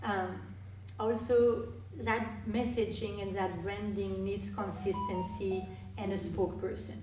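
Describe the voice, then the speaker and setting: A woman, a guest speaker answering audience questions in a halting way with many restarts. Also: mid-range voice, steady tone, noisy background. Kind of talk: live Q&A presentation. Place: meeting hall. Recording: echoey hall from far off.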